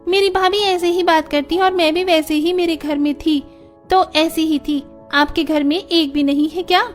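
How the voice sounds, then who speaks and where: Lady doing a spoiled young bride, real high voice, snappy n complaining, story drama, bit of music under. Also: fairly even intonation, normal speed. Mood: defiant. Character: sharp-tongued, spoiled, defiant young rich bride. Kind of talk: dramatised Hindi family story acting.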